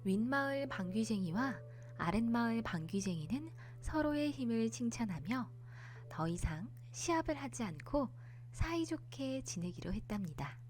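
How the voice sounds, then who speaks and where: A woman narrating a children's bedtime folktale as a storyteller, in a polite, expressive manner. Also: on the high side, moderate pace, soft background music.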